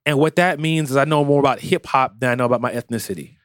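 Man, podcast co-host, earnest, animated, mid pitch, dry close mic. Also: fast, no echo. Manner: earnest, animated, acts out quoted voices. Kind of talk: casual podcast conversation.

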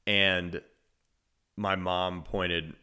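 A man with a naturally deep voice, hosting a solo sports podcast in a conversational, analytical way, often restarting his phrases. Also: little pitch movement, extremely slow, bright, forceful tone, smooth. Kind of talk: solo podcast commentary and storytelling.

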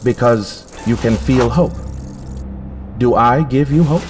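A man voicing a calm, coldly logical ship's computer. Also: medium pitch, noisy background, natural voice. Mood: calm, insistent. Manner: measured, formal, literal, emotionless. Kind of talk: science fiction audio drama acting. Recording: dramatic music behind the voice.

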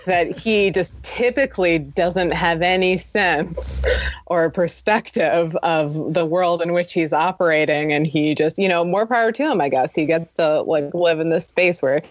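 A woman's voice: lowish voice, a little slow, no room sound. A woman speaking as a guest writer in a casual interview, with a wry, dry-witted delivery. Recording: slightly dull, lo-fi connection.

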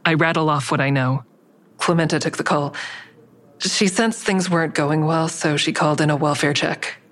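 An anxious woman telling her own story in an audio drama. Her voice is low for a woman. She sounds restrained, guarded and introspective, in both narration and dialogue. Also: steady tone, moderate pace, a bit bright, dry recording.

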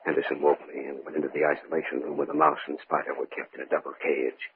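A man playing an earnest, uneasy scientist in an old-time radio horror drama, speaking gravely and deliberately. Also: a bass voice, little pitch movement. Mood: grave, measured. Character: earnest uneasy male scientist recounting events in a journal. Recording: muffled, very noisy old radio recording.

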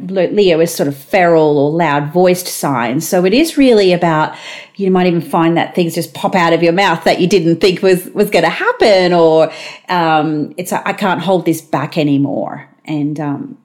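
Australian woman chatting casually, slightly low voice. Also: darkish tone. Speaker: astrology show co-host. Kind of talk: casual chat.

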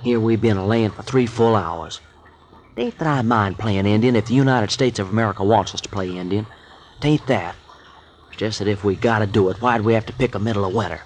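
An actor playing a complaining, folksy sidekick with a drawl in a 1940s radio drama. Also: male voice, on the low side, brisk, a little hiss or hum, close to an everyday voice. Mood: grumbling. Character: grumbling folksy male sidekick.

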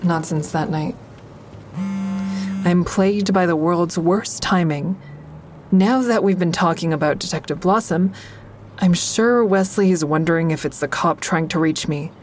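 Actress playing a low-voiced, guarded, wry woman narrator. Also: measured pace, a little hiss or hum, lightly acted. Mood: measured, guarded. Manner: confiding, dry, introspective. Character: guarded, wry young woman narrating her troubled romance. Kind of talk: intimate first-person audio drama narration and dialogue.

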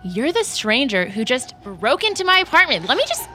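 A woman's voice: high-pitched, very animated, normal speed, bright, forceful tone, faint music behind, heavily acted. An actress playing a smug, scornful young woman, a former popular mean girl, in an audio drama with sarcastic, sneering delivery. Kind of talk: audio drama acting, contemporary dialogue.

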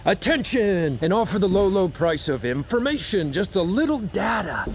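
An actor playing a guilt-ridden, sardonic middle-aged engineer in a naturalistic audio drama, intense and profane. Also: male voice, high voice, very animated, moderate pace. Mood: bitter, sarcastic. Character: guilt-ridden, bitterly sarcastic male head engineer.

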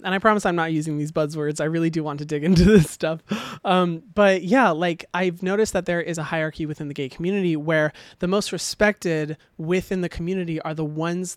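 A young man with a high voice, hosting a podcast and giving a casual, animated opinion monologue full of ums and little laughs. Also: clear, resonant tone, no room sound. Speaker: podcast host.

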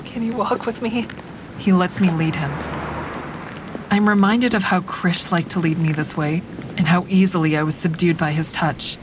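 Woman narrating as a guarded, grieving heroine. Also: natural voice. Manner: measured, introspective narration. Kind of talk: first-person audio drama narration. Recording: constant vehicle rumble behind.

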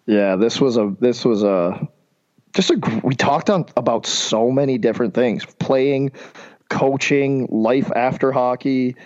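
A man's voice: mid-range voice, measured pace, a little mellow, muffled recording. A man co-hosting a hockey podcast, jokey and boastful, full of casual slang. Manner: jokey, boastful, says dude and bro. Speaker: podcast co-host, former college player.

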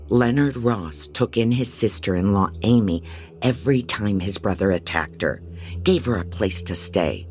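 An actress playing a blunt, disorganized female private investigator, narrating an audio drama in a wry way. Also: rumbling low.

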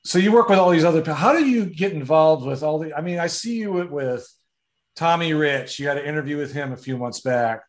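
A man's voice: on the high side, fairly quick. A man working as an interviewer in a casual chat, conversational and agreeable in the way he talks.

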